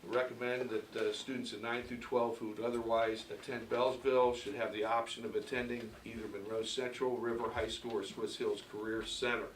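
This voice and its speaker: A man's voice: medium pitch, flat delivery, a little fast, hardly any pauses. A man with an American accent giving formal remarks at a public meeting as a school superintendent. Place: indoor board meeting room. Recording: echoey, noisy meeting room, distant mic.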